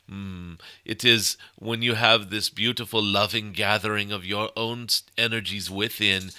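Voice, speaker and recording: A man voicing a benevolent cosmic golden dragon spirit, delivering a spiritual message in formal, halting phrases punctuated by murmured hums. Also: on the low side, sharp tone, clean tone, dry recording. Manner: formal, otherworldly phrasing, tenderly addressing listeners. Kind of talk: channeled spiritual message, sermon-like.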